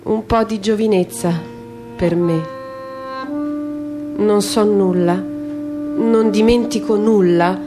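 A woman performs a literary text as radio theatre. Her delivery is measured and declamatory, with dramatic pauses, at a medium pitch. Instrumental music plays clearly behind her. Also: steady tone. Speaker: stage reader and performer. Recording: music under the voice.

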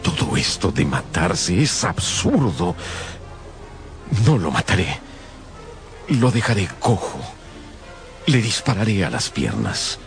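An actor playing a solemn, wounded, soft-hearted older husband in a radio drama, with a gravelly, husky voice. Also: male voice, sits low, huge pitch swings, takes it slowly, pauses often, soft background music, full character voice. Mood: anguished, resolute. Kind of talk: Spanish-language radio drama acting.

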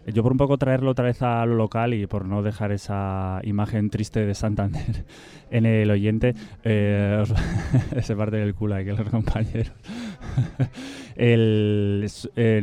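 A man's voice: fairly low pitch, warm tone, clean tone. A man from Spain with a Spanish accent, co-interviewing on the radio in a casual, joking style. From Guadalajara, Spain.

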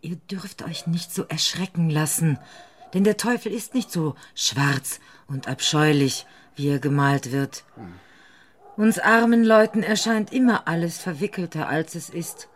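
An actress in a radio play playing a middle-aged, worldly village mother with a calm, knowing, folksy manner. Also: deep voice, unhurried. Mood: reassuring, knowing.

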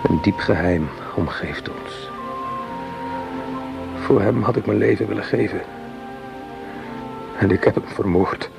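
A grave soldier crushed by guilt in a radio play. His low voice is creaky and slow, heavy with hushed remorse. Music plays behind him. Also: heavily acted. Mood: anguished remorse. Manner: heavy, solemn, hushed confession. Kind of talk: radio drama acting.

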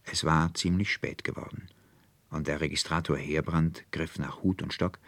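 A man narrating a literary fairy tale in a measured, expressive storytelling manner. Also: rumbling low, no room sound. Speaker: audiobook narrator.

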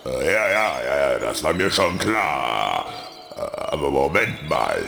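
A bossy computer-virus gang boss for a children's radio drama, extremely low and gravelly. He blusters and gloats slowly, drawing out his orders. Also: big pitch swings, roomy sound, music in the background, full character voice. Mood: blustering. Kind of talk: German children's radio play villain.

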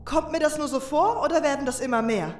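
A woman playing a sardonic, sharp-tongued adventurer in a fantasy fan audio drama. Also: high voice, fast, lightly acted. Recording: roomy echo, faint music behind.

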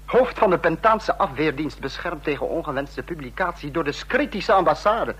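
Husky, menacing male dictator. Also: on the high side, animated, moderate pace, fairly bright tone. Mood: scornful anger. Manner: biting, sarcastic, domineering. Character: menacing male dictator, sarcastic, scolding his subordinates. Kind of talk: radio drama acting.

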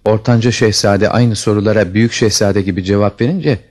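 Very deep, husky male storyteller. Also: fairly even intonation, fairly quick, a little mellow. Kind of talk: scripted fairy tale narration.

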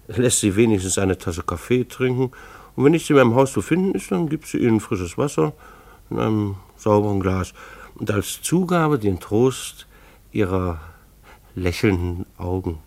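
An actor playing a mildly exasperated middle-aged husband who narrates his household in a radio play, dryly ironic and deliberate. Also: lowish voice, lively intonation, creaky, darkish tone. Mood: wry, fond.

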